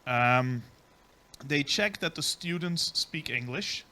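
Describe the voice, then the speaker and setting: A man's voice: on the high side, lively intonation, slow pace, pushed and bright. Man giving a conference talk. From Belgium. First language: Dutch. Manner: explanatory, frequent ums. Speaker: conference speaker. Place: conference stage.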